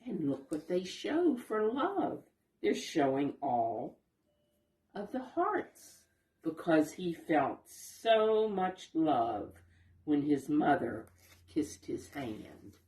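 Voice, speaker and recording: A woman reading a picture book aloud to young children, explaining the pictures simply and gently as she goes. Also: low voice, very animated, extremely slow, far from the mic. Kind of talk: expressive read-aloud storytelling with asides to young listeners. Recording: roomy echo, voice away from mic.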